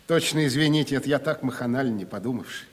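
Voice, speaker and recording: Actor as a husky, sly folksy merchant. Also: male voice, medium pitch, a little fast, natural voice. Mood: crafty, mock-humble. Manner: wheedling, mock-humble, colloquial peasant turns. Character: sly, folksy, uneducated provincial timber merchant, a father. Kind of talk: 1970s Russian radio play acting. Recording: reverberant 1970s radio play recording.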